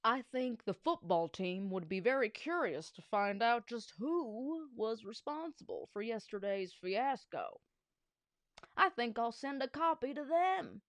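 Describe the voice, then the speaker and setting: A woman reading a storybook aloud, voicing a gloating male school principal in a slow, smug, menacing way. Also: a little high, lively intonation, dry recording. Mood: threatening.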